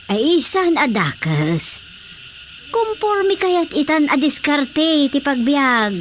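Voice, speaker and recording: A woman's voice: high-pitched, very animated, slow pace, a little hiss or hum, heavily acted. An actress with an Ilocano accent playing a chatty, teasing village woman who loves gardening in a radio drama comedy. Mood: chatty, encouraging.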